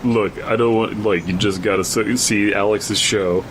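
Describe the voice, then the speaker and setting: Male podcast co-host, deep-ish voice, loose joking riffs and reading, rumbly noisy recording. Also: fairly even intonation, moderate pace. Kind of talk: casual comedic chat and story read-aloud.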